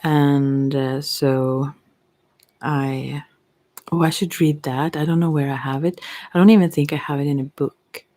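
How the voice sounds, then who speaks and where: A middle-aged woman with a Swedish accent, speaking softly and intimately in an unscripted, reflective ASMR monologue that rambles and trails off. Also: low voice, fairly even intonation, measured pace, no room sound. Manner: rambling, trailing off mid-thought. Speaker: ASMR creator and tarot reader.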